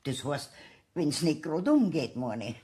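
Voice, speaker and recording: A woman playing an anxious older cottage owner with a Bavarian accent and a husky voice, halting and pleading in a children's audio play. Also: sits low, sing-song, mellow, exaggerated voice.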